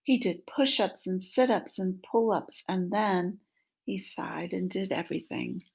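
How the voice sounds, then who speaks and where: Slow, slightly husky woman reading to children. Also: fairly low pitch. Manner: prompting, question-asking, addressing young children. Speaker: teacher reading a picture book aloud. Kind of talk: interactive children's story read-aloud. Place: small room at home. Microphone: laptop microphone at arm's length.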